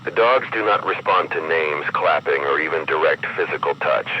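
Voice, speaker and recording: A man reading a scripted horror emergency broadcast as an announcer, in a grave, measured, ominous manner. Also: a bass voice. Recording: muffled broadcast with clear background noise.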